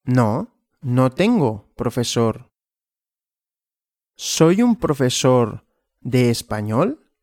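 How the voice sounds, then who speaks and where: Very slow, deliberate male Spanish teacher. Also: medium pitch, sing-song, darkish tone, dry recording, clean studio sound. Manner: very slow, deliberate, repeating and asking himself questions. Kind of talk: slow graded language lesson with questions and answers.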